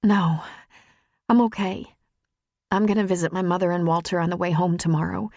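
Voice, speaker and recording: A woman's voice: fairly low pitch, fairly even intonation, moderate pace, darkish tone, no echo, clean studio sound. A woman voicing an earnest, openly emotional adult woman lawyer in an audiobook. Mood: urgent, pleading.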